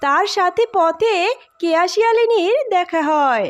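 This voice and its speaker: A woman's voice: very high voice, lively intonation, measured pace, highs cut off. A woman narrating a scripted cartoon story.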